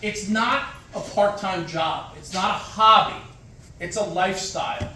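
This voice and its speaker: A man's voice: high-pitched, unhurried, bright, forceful tone, slight background noise. A man in his forties giving an emphatic motivational speech at a fire department event, using punchy, repeated short phrases. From United States. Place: large echoey hall with an audience. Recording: very echoey hall, distant mic.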